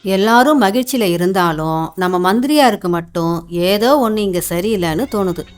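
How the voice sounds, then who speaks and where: A woman's voice: medium pitch, expressive, moderate pace, soft background music. A woman narrating a cartoon folktale in a plain, colloquial storytelling way.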